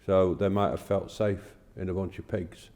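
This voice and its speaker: A plain-spoken man talking haltingly in a casual discussion of faith, recorded with long reverb. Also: deep voice, little pitch movement, darkish tone.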